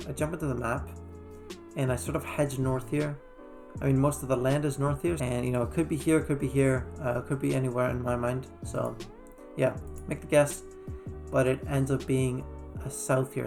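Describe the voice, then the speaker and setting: Even-toned, gravelly man recapping a game. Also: medium pitch. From Iceland. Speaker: gaming video creator. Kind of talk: casual game recap commentary. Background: faint background music.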